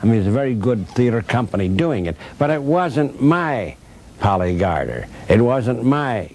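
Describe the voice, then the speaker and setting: A man's voice: mid-range voice, big pitch swings, moderate pace, darkish tone. An older man reflecting conversationally in an interview, emphatically repeating key phrases as he tells stories. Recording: clear background noise and ambience.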